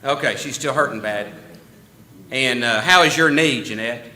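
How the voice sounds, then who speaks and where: A man's voice: medium pitch, normal speed, bright, forceful tone. A man giving casual church announcements in a folksy, chatty way, laughing easily and restarting his sentences. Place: church meeting room.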